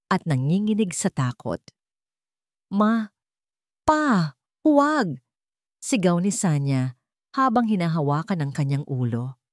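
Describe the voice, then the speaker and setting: A woman's voice: lowish voice, darkish tone, no room sound, clean studio sound. A woman narrator reading a scripted, dramatic love story.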